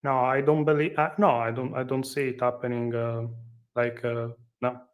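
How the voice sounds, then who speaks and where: A man with an Italian accent in a casual panel discussion, speaking haltingly and repeating words as he goes. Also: mid-range voice, flat delivery.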